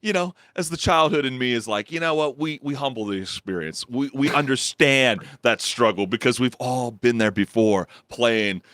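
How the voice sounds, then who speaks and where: A young man co-hosting a gaming talk show, who reads viewer comments aloud and laughs often in a low baritone that sometimes squeaks when he gets excited. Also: moderate pace, few pauses, no echo. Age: young adult. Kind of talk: casual chat.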